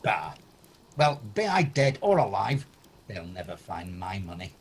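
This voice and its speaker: A man's voice: sits low, very animated, a little slow, slightly rough, exaggerated voice. A man playing an irascible, fearful older miser in an amateur radio play, blustering and indignant. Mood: grumbling, defiant. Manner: indignant, blustering, stammers when agitated. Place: at home, recorded over video call. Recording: faint noise, home video-call recording.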